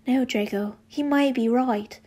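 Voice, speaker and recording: A woman's voice: fairly high pitch, a little slow, warm tone, breathy edge. A woman voicing a stern, exasperated man in a story, curt and commanding. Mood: weary. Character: stern, seething adult man, demanding and exasperated.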